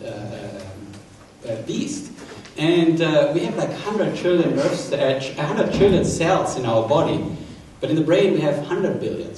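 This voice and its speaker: Male moderator, German accent, halting delivery, mid-pitched, in a very reverberant space. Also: darkish tone. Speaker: panel moderator introducing speakers. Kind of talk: informal introductory talk to an audience. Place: large hall, town hall event. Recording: echoey hall, distant mic, faint noise.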